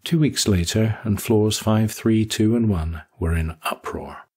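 Very raspy, low-voiced male narrator. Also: unhurried, darkish tone. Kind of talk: scripted audiobook narration.

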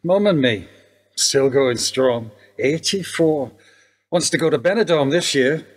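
A man's voice: on the high side, lively intonation, unhurried, long reverb tail. A man acting a middle-aged detective inspector with a dry, wry wit in a radio play.

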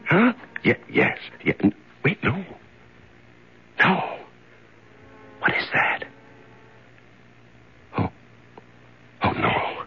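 An actor in a 1970s radio drama playing a weak, half-mad prisoner who talks haltingly and repetitively to himself in a tremulous, feeble voice. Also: male voice, fairly high pitch, huge pitch swings, creaky, bright, forceful tone, breathy edge, full character voice. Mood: astonished tenderness. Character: weak, half-mad male prisoner in darkness, desperate yet gentle. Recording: dull vintage radio recording.

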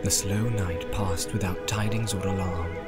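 A man's voice: low-pitched, steady tone, unhurried. A man narrating a fantasy audiobook in a dramatic, scripted storytelling style. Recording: loud music behind. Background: loud music.

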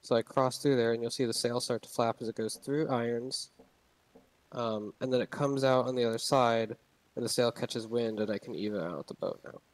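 A man's voice: mid-range voice, moderate pace, no echo, low-quality recording. A young man casually explaining a game's sailing controls, rambling with many fillers and restarts. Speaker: game tutorial narrator. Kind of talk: casual gameplay tutorial.

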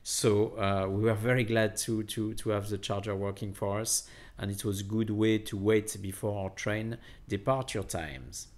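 A man narrating a casual travel vlog in a hesitant, halting way, often repeating words. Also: on the low side, fairly even intonation. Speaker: vlogger.

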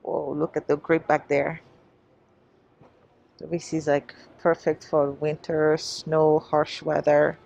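A woman's voice: deep voice, completely flat delivery, takes it slowly, vocal fry, a little mellow, muffled recording. A woman casually chatting to viewers as she browses in a large store, musing aloud as a shopping vlogger. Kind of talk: casual shop-with-me vlog chat. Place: inside a large shoe store. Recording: faint background store noise.

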